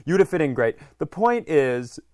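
A man's voice: on the high side, big pitch swings, moderate pace, a little mellow, no room sound, studio quality. A man hosting a podcast in casual comedic conversation, rambling along with self-deprecating stories. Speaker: podcast host.

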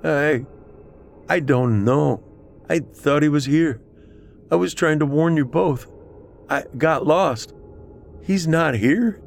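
Horror victim played by a man: dazed, defensive, halting, pitched up in panic. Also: creaky, slight background noise. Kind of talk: horror audiobook character acting.

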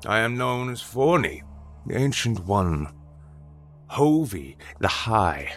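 A man voicing an ancient male god who sits in judgment on a throne, speaking slowly and proclaiming in a booming voice. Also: very animated, fairly bright tone, soft background music, full character voice. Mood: stern.

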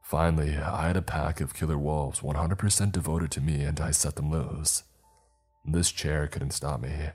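A smug, self-satisfied villain, played by a man with an extremely deep voice. He brags with cold pride, with soft music behind. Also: steady tone, normal speed, slightly rough. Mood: boastful. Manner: smug and boastful. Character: smug male villain who trained killer wolves.

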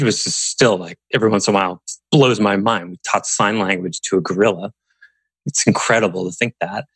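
A man's voice: medium pitch, a bit bright, dry recording. A man hosting a podcast discussion, musing aloud and posing rhetorical questions to the group. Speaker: podcast host.

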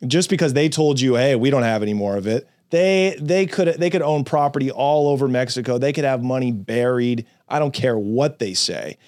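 A man's voice: mid-range voice, normal speed, few pauses, a little mellow, dry recording, clean studio sound. A man hosting an interview, a former drug dealer, talking bluntly with street slang and frequent interjections. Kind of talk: casual interview chat.

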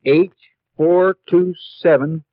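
An actor playing a folksy, weary sheriff with a rural Western American accent in a 1950s radio western. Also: male voice, on the high side, steady tone, takes it slowly, no echo. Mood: wry, intent. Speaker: supporting actor. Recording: muffled 1950s radio recording, faint noise.

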